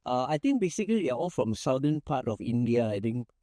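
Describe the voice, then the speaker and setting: A man's voice: medium pitch, very animated, fairly quick, warm tone, no echo, studio quality. A Malaysian man, a small firm's managing partner, answering interview questions in an easygoing, rambling way with many fillers and restarts.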